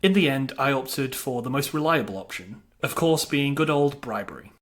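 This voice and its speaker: A man's voice: medium pitch, lively intonation, moderate pace. A man delivering scripted narration for a video game review, with a wry, dryly humorous manner.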